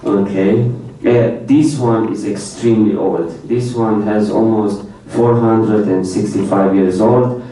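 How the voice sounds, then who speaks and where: Young Romanian-native man, low dark voice, presenting slides in a very echoey lecture hall heard from a distance. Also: steady tone, slow, few pauses. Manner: plain speech in non-native English with many repetitions, light laughs. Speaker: foreign student presenter. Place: university lecture room.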